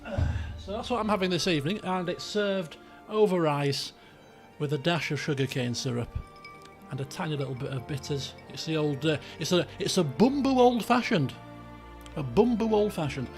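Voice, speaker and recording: A British man hosts a casual game stream in a high voice with a croaky, creaky edge. He rambles along chattily in colloquial language, with faint music behind him. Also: normal speed. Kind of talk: casual livestream chat.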